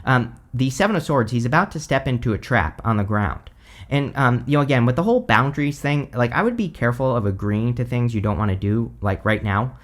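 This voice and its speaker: A man giving a casual tarot reading, hoarse because he is losing his voice, rambling with lots of "like" and "you know". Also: medium pitch, lively intonation, brisk. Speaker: tarot reader.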